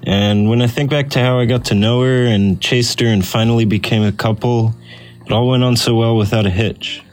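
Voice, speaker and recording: A man's voice: mid-range voice, fairly even intonation, moderate pace, soft background music. A man reading a personal essay aloud as scripted narration. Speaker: essay reader.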